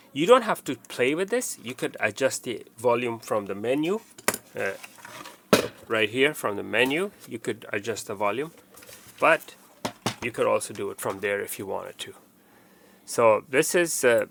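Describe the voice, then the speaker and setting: A man's voice: medium pitch, creaky, fairly bright tone. A man giving a casual, step-by-step installation walkthrough, explaining plainly and often repeating his instructions. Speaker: technician presenting a how-to tutorial.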